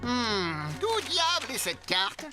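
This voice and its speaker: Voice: medium pitch, huge pitch swings, slow. A performer voicing a timid, bumbling male pirate first mate in a cartoon, flustered and muttering. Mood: flustered, fretful. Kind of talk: French-dubbed children's cartoon acting. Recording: loud music under the voice.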